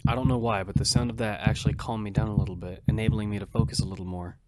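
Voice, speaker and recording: Low-voiced man narrating over music. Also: steady tone, very fast. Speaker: narrator and protagonist. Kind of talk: first-person scripted dramatic narration.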